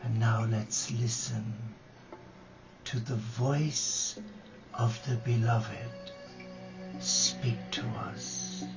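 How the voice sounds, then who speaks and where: A man leading a guided meditation and prayer as a religious brother, speaking gently and reverently in short phrases with long pauses. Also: medium pitch, fairly even intonation, vocal fry. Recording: dull lo-fi live recording with music.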